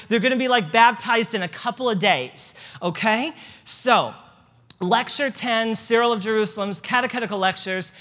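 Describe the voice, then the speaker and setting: A man with a Midwestern accent lectures to a room in a loose, joking way, full of asides and fillers. His voice is bright and forward. A long hall reverb trails each phrase. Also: very high-pitched. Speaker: church history speaker. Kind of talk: lecture with slides.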